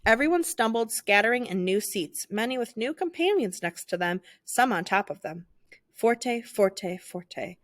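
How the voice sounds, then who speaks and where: A woman narrating a children's picture book aloud in an expressive, theatrical storytelling style. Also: on the high side, measured pace, no room sound, clean studio sound.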